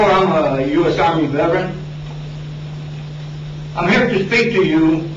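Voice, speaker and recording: Earnest man addressing a news conference. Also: high voice. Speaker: army veteran speaking at a news conference. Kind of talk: prepared statement. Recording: echoey, distant, dull, noisy.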